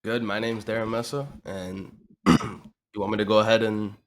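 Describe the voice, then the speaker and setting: A man of about twenty-two who grew up in Miami speaks as a guest in a casual interview. His voice sits on the low side as he talks through his own experience. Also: little pitch movement, normal speed. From Miami, USA. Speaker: interview guest, online business owner.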